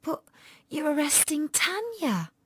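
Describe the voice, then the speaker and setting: A woman's voice: high voice, sing-song, slow pace, no echo, clean studio sound, pitched above the performer's own voice, heavily acted. A woman voicing a timid, tearful ten-year-old girl in an audiobook story, small and whispery with fright. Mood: frightened, tearful.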